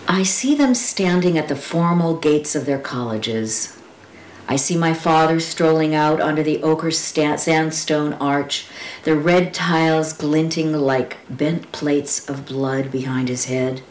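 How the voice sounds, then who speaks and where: A woman reading poems and quotations aloud with informal commentary, speaking in a reflective, deliberate way with pauses and drawn-out words. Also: deep voice. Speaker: poetry reader. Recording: roomy echo with clear background noise.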